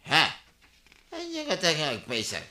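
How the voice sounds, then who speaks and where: A man's voice: a little high, wildly swooping pitch, moderate pace, pushed and bright, full character voice. A man playing a boastful, grumbling married villager in an Ilocano radio comedy, with an Ilocano Filipino accent.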